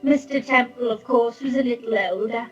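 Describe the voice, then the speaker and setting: High, husky, cooing landlady. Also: steady tone, muffled recording, soft background music. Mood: sweet, eerie. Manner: cooing, genteel, drawn-out exclamations. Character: sweet, fussy, eerily cozy older English landlady.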